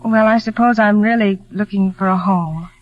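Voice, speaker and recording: An actress playing a shy, gentle young maid in a 1940s radio drama, soft-spoken, polite and wistful. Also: female voice, mid-range voice, steady tone, normal speed, no echo, lightly acted. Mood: wistful, earnest. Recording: muffled vintage radio recording.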